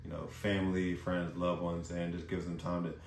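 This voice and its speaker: Man from the US, young adult, low voice, casual slangy vlog talk, roomy echo. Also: moderate pace, vocal fry, slight background noise. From United States. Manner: relaxed, chatty, slangy. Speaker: vlogger. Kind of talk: casual talking-head vlog. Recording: echoey room.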